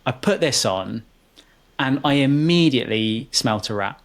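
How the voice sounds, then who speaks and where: A man's voice: mid-range voice, expressive. Chatty male podcast host. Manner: chatty, rambling with quick affirmations. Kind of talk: conversational podcast interview.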